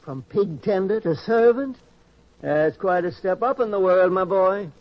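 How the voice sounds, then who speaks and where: An actor playing a world-weary old pig tender, wry and folksy, in the style of an old-time radio drama. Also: male voice, high-pitched, unhurried. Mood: gruff, dismissive. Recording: dull, lo-fi radio-style recording.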